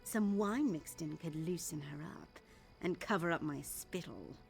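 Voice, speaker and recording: A woman's voice: low voice, unhurried, gravelly. A woman playing a disgruntled, sardonic palace servant in a video game. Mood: grumbling, scornful. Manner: grumbling, sardonic. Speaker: game character actor. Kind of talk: video game character acting. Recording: music behind the voice.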